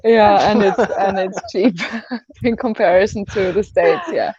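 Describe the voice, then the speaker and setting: A woman's voice: medium pitch, a little slow, a little mellow, husky, no echo, highs cut off. A woman speaking English as a second language, chatting as an interview guest, often searching for words and laughing. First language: not English. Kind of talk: casual interview chat.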